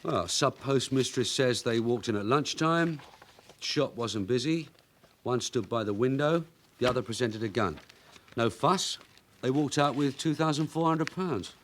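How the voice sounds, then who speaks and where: A shrewd veteran English detective sergeant, played by a man in a British radio drama. He is brisk and wry, with a very lively, varied pitch. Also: slight background noise, natural voice. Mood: businesslike.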